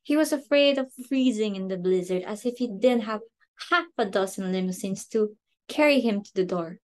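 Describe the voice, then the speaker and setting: A woman's voice: mid-range voice, expressive, normal speed, a little mellow, lightly acted. A woman playing an imperious, short-tempered, status-obsessed society hostess and mother in an amateur play reading, with an indignant, theatrical delivery. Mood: furious, scolding.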